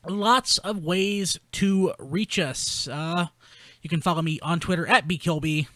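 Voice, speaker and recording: A man's voice: on the high side, a little slow, bright, forceful tone, no echo. A man hosting a casual panel chat, informal and blunt in the way he talks. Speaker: podcast host.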